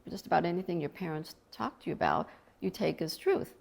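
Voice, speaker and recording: A woman's voice: lowish voice, fairly quick, vocal fry. A middle-aged woman, an author from Colombia, talking in an earnest, reflective way about faith in an interview.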